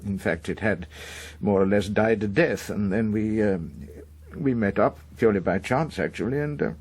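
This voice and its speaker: Uneasy establishment man, upper-class English accent, low register, rough husky tone, halting confiding delivery, radio drama. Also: darkish tone. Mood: embarrassed confession. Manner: hesitant, halting. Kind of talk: British radio drama acting.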